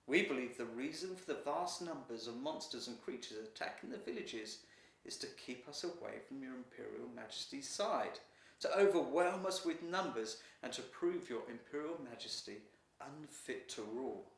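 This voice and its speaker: A man's voice: medium pitch, expressive, moderate pace, gravelly. A man voicing a loyal guardian lion in a storybook, speaking formally and with deference. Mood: solemn, deferential. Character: loyal, dutiful male guardian lion servant of emperor. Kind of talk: storybook character dialogue. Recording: roomy echo, distant mic.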